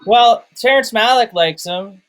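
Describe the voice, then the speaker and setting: Guy with a high voice chatting about movies, kinda halting, repeats words as he thinks. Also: a bit bright, low-quality recording. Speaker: film review show host. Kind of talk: casual film discussion.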